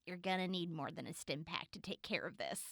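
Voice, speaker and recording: An actress playing a composed, authoritative young raider leader in an audio drama, speaking in a measured, deliberate way. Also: female voice, fairly low pitch, creaky, fairly bright tone, no room sound. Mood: brisk, concerned. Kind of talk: post-apocalyptic audio drama acting.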